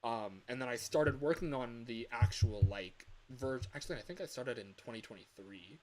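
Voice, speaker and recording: A man talking casually on a livestream as a game developer, thinking aloud in a hesitant, halting way. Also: medium pitch, poor audio.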